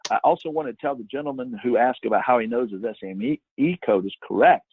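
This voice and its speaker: A man's voice: mid-range voice, animated, fast talker, husky, no echo. A man answering questions as a guest expert in an informal webinar, giving emphatic advice over a phone-like connection. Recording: tinny phone-line sound, dry.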